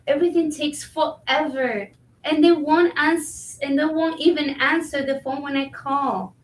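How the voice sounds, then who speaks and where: A woman acting a weary, determined working immigrant in a staged play reading, measured and resolute. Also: high-pitched, a bit bright, slight background noise. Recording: roomy echo, distant mic.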